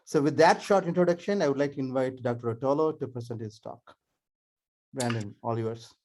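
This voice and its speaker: A man's voice: medium pitch, fairly quick, darkish tone, a little hiss or hum. A man hosting an online seminar, giving a formal introduction of the speaker with hesitant fillers between phrases. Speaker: seminar host. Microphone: video call.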